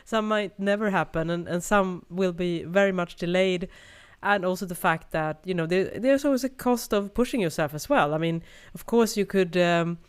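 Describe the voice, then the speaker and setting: A woman's voice: on the low side, moderate pace, few pauses, smooth. A woman co-hosting a podcast in reflective casual chat, speaking with a cold. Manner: reflective, thoughtful, many "you know"s. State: has a cold. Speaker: podcast co-host.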